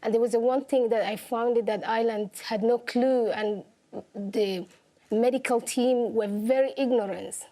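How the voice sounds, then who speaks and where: A woman's voice: on the high side, fairly even intonation, moderate pace, mellow, rough recording. A young woman from Somalia, speaking earnestly as an interview guest. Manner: earnest, simple phrasing, laughs easily. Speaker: guest, activist. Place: television studio.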